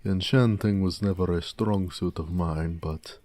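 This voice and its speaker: A man playing a proud, gentle orc blacksmith in an intimate, affectionate audio roleplay, speaking tenderly and modestly. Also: measured pace. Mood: tender, nostalgic. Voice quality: deep, mellow.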